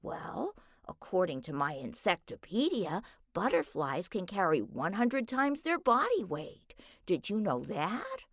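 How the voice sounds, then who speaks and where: A woman's voice: mid-range voice, very animated, unhurried, few pauses, no room sound. Woman voicing a fussy polite storybook turtle, bookish, muttering, dry close sound. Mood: prim. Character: prim bookish male turtle.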